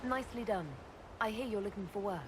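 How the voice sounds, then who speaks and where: A woman's voice: medium pitch, brisk, noisy background, natural voice. An actress playing a composed, businesslike female elf leader in a video game, direct in her questions. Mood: polite, probing.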